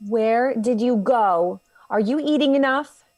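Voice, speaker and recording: A woman's voice: a little high, unhurried, a little mellow, dry recording. An actress in a radio drama playing a caring, fussing middle-aged mother of a grown daughter, chatty and motherly.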